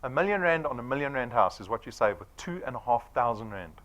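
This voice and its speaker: A man's voice: mid-range voice, lively intonation, fast, a bit bright. A man of forty with a South African accent, giving a punchy sales presentation to an audience and firing off rhetorical questions. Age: 40s.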